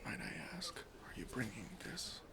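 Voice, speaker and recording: A man whispering an ASMR roleplay as a deadpan airport security officer, breathy and deliberate, with pauses. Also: medium pitch. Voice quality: breathy whisper with some fry. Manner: slow, hushed, deliberate, with pauses.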